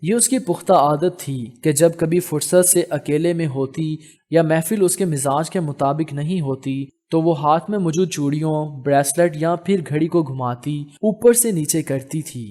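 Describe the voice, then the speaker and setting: A male audiobook narrator with a fairly high voice reads a romantic novel. His delivery is measured and literary, like scripted storytelling. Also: dark tone.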